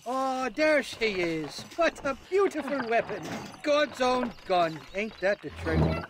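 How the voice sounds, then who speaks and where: An actor playing a roguish, gleeful outlaw with an Irish accent in a western video game. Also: male voice, extremely high pitch, sing-song, takes it slowly, noisy background, exaggerated voice. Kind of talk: dramatic video game acting. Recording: loud music behind the voice.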